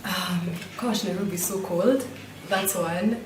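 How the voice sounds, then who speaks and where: A woman's voice: fairly low pitch, a little slow, background noise. A woman with a Kenyan accent chatting casually and informally about her day and her work. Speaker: writer. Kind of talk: casual chat.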